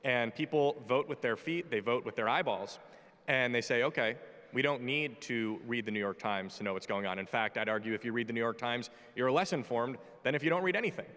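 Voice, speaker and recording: A man's voice: medium pitch, a little fast, fairly bright tone. A man in his twenties speaking on a conference panel in a brash, joking, opinionated way. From United States. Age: 20s. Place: large conference hall stage. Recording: reverberant hall.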